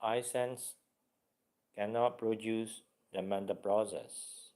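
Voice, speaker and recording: Slow, low-voiced male meditation teacher. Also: fairly even intonation, mellow. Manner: halting, short phrases, repetitive and deliberate.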